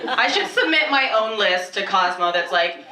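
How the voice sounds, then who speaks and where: A woman's voice: mid-range voice, expressive, normal speed, a little hiss or hum. A woman in her thirties from Canada doing a chatty, self-mocking stand-up set, often laughing at her own jokes. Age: 30s. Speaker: stand-up comedian. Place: comedy club stage with a live audience. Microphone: handheld stage mic, filmed from far in the room. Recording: echoey club, camera far off, loud crowd laughter.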